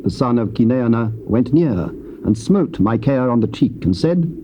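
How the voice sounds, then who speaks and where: A man's voice: mid-range voice, expressive, normal speed, dark tone. A man narrating scripture as formal, dramatic storytelling. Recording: muffled, noisy old cassette.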